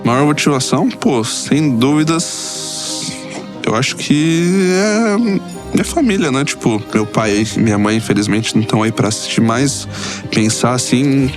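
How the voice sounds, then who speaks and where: A man's voice: mid-range voice, lively intonation, a little slow, music in the background. A man with a Brazilian accent, a professional player speaking informally and hesitantly in a reflective interview.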